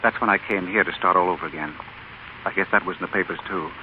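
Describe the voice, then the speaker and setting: An actor playing a courteous, lonely young executive in a gentle 1930s radio drama style, on a scratchy old broadcast. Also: male voice, low-pitched, fast talker, narrow, tinny audio, natural voice. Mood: subdued, confiding.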